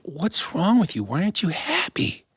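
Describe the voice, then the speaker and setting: A woman's voice: huge pitch swings, moderate pace, slightly rough, heavily acted. A man doing a fretful, pleading queen. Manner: high, fretful, imploring. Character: anxious pleading queen, middle-aged woman.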